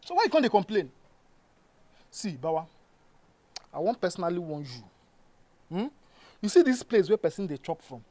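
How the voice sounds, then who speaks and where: A woman's voice: fairly low pitch, big pitch swings, moderate pace, long pauses, mellow, dry recording. A woman playing an exasperated, bossy friend with a Nigerian accent, lecturing and warning emphatically. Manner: emphatic, scolding. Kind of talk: acted Nigerian Pidgin comedy dialogue.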